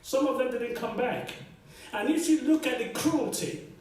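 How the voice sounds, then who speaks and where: High-voiced man in an echoey hall. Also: normal speed. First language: Yoruba. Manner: emphatic, repeats key phrases, asks the room for agreement. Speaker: debate panelist. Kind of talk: impassioned public debate talk. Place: large echoey lecture hall. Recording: echoey hall from far off, faint noise.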